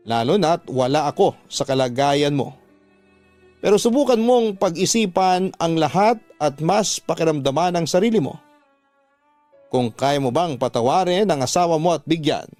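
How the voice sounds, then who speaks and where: A man's voice: a little high, darkish tone, no room sound. Filipino man narrating, very dry sound over music. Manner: earnest, measured, sentimental delivery. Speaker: host reading listeners' letters. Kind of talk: radio drama narration and advice.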